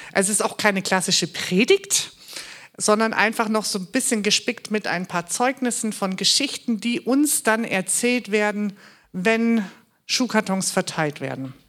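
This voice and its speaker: A woman's voice: medium pitch, fairly bright tone. A woman from Bavaria, raised in Württemberg, giving a chatty, joking presentation. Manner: chatty, joking, with fillers and restarts. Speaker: charity regional manager giving a talk. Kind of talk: casual presentation talk.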